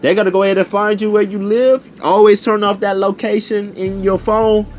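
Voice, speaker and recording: A young man telling a personal story casually, in an animated, slangy way, acting out the conversations as he goes. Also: sits high, moderate pace, soft background music. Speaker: video storyteller.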